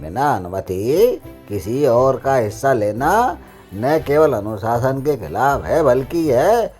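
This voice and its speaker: A woman plays a bumbling cartoon king with a very low voice. The delivery is unhurried yet swings widely, full of exclamations. He comes across as an easily amused ruler. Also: very animated, dark tone, soft background music, full character voice. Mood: puzzled, admonishing. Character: drowsy, easily amused king judging petty quarrels. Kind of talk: Hindi animated comedy acting.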